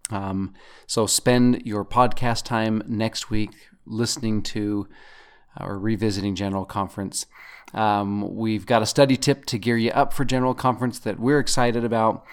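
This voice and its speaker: A man's voice: lowish voice, little pitch movement, normal speed, smooth. A man in his thirties hosting a casual scripture podcast with his wife, sometimes joking in a mock radio-announcer way. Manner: playful, mock radio-announcer delivery at times. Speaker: podcast host.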